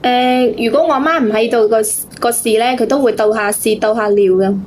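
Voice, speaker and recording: A woman with a Cantonese accent telling her story to a host as a caller, in a halting, repetitive, plaintive way. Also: a little high, a little mellow. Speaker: phone-in caller. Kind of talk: casual personal storytelling to a host.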